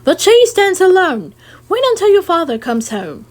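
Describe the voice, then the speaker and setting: A woman's voice: very high-pitched, big pitch swings, moderate pace, pitched above the performer's own voice. A woman doing a prim, firm storybook mother. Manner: prim and scolding. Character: firm, proper farm mother.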